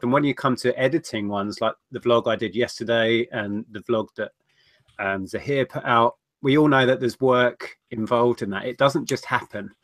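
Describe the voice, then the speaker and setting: A man's voice: mid-range voice, fairly bright tone, no room sound. Man hosting a dry-sounding live stream. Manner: earnest, with repeated restarts. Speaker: host. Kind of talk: casual live-stream chat.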